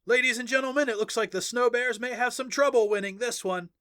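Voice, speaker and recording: Man putting on an excitable, high-pitched arena announcer. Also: dry recording, clean studio sound. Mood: thrilled. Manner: bombastic, drawn-out emphasis. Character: excited male sports arena announcer, bombastic showman. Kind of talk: arena sports commentary in an audiobook.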